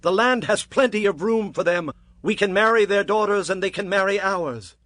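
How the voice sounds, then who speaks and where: A man's voice: high voice, moderate pace, a bit bright. An actor playing an emphatic, declamatory biblical tribesman in a dramatized audio Bible. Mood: persuasive. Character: forceful biblical tribesmen: brothers, fathers, suitors.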